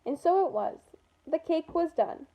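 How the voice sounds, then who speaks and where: Woman, high voice, animated children's storybook read-aloud, dull lo-fi recording. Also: unhurried. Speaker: storybook reader at an environmental learning center.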